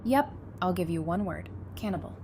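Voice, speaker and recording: A woman's voice: lowish voice, animated, moderate pace, a little mellow, a little hiss or hum. A woman acting a wry, guarded, tough-minded survivor in frank, conversational audio drama dialogue. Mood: frank, uneasy.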